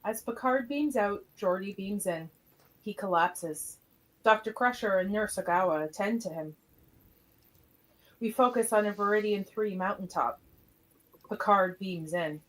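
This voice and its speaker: A woman narrates a script, reading stage directions in a mid-pitched voice. The recording has a faint layer of noise behind it. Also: measured pace, long pauses.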